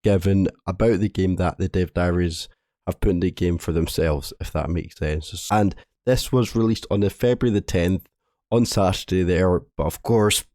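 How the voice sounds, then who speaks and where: A man with a low, hoarse voice from a cold talks through a game update. His tone is dark and mellow and the sound is dry and close. He rambles casually and trips over and restarts his words. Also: normal speed, no room sound. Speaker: gaming video host.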